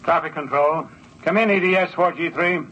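A man playing a brisk, officious space traffic control operator, clipped and procedural, in 1950s radio drama style. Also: a little high, natural voice. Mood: tense countdown. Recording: dull old radio, clear engine noise.

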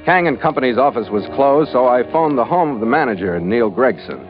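A man plays a tough sea captain in a vintage radio adventure. His medium-pitched voice is terse and hard-boiled. The recording is dull, with clear music behind it. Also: expressive, a little fast, natural voice. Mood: grim, matter-of-fact. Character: tough, laconic ship's skipper narrating his adventure. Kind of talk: old-time radio drama narration and dialogue.

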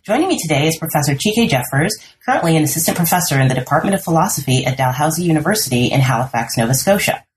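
A woman's voice: low-pitched, lively intonation, racing, few pauses, a little hiss or hum. A woman hosting a podcast interview, asking long, rambling questions full of fillers. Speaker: podcast host.

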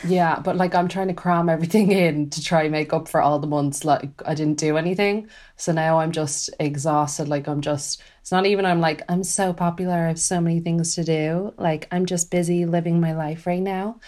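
A woman's voice: deep voice, steady tone, normal speed, few pauses, darkish tone. A young woman co-hosting a podcast, stuffy with a cold, bubbly and laughing as she chats. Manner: bubbly, laughing, filler-heavy. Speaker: podcast co-host. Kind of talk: casual chat.

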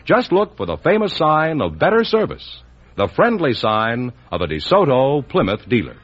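A man's voice: medium pitch, huge pitch swings, moderate pace, faint music behind. An American man reading a scripted 1950s radio commercial with an emphatic salesman's delivery. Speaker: commercial announcer. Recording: muffled vintage radio broadcast.

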